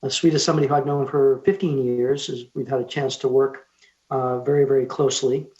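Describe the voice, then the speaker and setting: A man hosting an event and introducing speakers informally, with halting phrasing. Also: medium pitch, steady tone, darkish tone, muffled recording. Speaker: event host.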